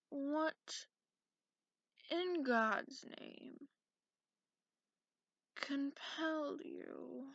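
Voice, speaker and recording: A woman voicing a cranky, sarcastic, sleepy dragon in a breathy, half-whispered ASMR roleplay, drawling haughtily. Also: high-pitched, no room sound, full character voice. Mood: irritated. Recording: muffled lo-fi close recording.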